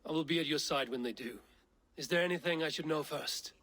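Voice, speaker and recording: An actor voicing a steady, stern Viking warrior leader in a video game, measured, grave and commanding. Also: male voice, on the high side. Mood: firm. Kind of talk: video game character acting. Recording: music behind the voice.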